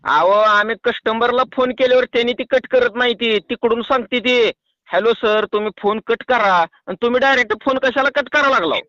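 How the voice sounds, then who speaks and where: A man's voice: extremely high pitch, a little fast, pushed and bright, no room sound. A man with a rural Maharashtrian Marathi accent, talking colloquially and argumentatively as a farmer calling a doctor on the phone. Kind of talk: casual phone conversation. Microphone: phone call. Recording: muffled lo-fi phone call recording.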